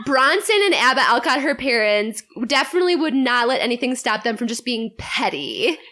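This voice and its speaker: A woman's voice: a little high, very animated, moderate pace, pushed and bright. A woman on a podcast, explaining things in a casual, playful and joking way. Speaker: podcast guest. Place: in-person group around a table.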